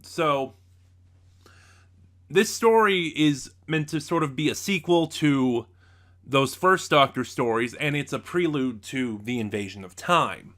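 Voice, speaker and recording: A man giving a casual, unscripted review as a reviewer, speaking haltingly and often losing his thread. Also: medium pitch, animated, a bit bright. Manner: halting, loses his train of thought.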